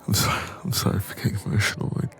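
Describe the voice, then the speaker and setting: A man playing an insecure, anxious young man confiding in a friend in an intimate audio roleplay, soft-spoken and hesitant. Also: close to an everyday voice.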